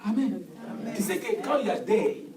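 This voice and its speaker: A man preaching with call and response in a church hall, fervent and repetitive, often shouting. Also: high voice, lively intonation, moderate pace, a crowd in the background. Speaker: pastor. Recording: echoey hall, distant mic, congregation noise. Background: congregation answering.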